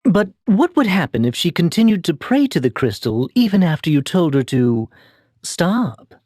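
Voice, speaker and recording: An actor playing a troubled, earnest young man in a video game, with a halting, dramatic delivery. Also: on the high side, very animated, a little mellow, dry recording, studio quality. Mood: questioning, insistent. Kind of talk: English video game voice acting.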